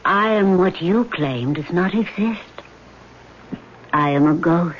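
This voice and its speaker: Female ghost: haughty, weary, vengeful, very measured delivery, old muffled radio drama with hiss. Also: fairly low pitch. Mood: haughty, taunting. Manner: slow, commanding, deliberate. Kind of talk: vintage radio drama acting.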